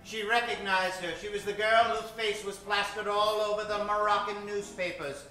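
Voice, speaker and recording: A man's voice: sits high, flat delivery, normal speed, bright, forceful tone. A man narrating and announcing in a theatrical, suspenseful old-time radio style. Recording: very echoey, distant stage recording with music.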